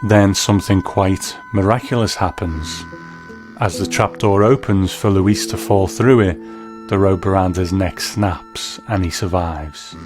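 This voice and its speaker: Deep voiced guy hosting, thoughtful measured review narration, faint guitar music behind. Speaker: host and narrator. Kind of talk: reflective scripted review narration.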